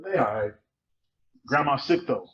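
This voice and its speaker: A man's voice: mid-range voice, lively intonation, measured pace, a bit bright, muffled recording. A man performing a cool, teasing teenage boy with casual, slangy speech in a dramatic reading of a novel. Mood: guarded. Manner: slangy, casual, dropped verbs.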